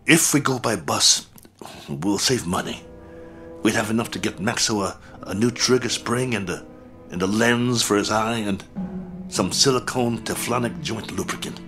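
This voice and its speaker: Battered fight manager, stubborn, rambling, in pain, low bright voice, music under. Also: male voice, normal speed. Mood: hopeful. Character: battered aging male fight manager, hopeful. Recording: old radio drama recording with music.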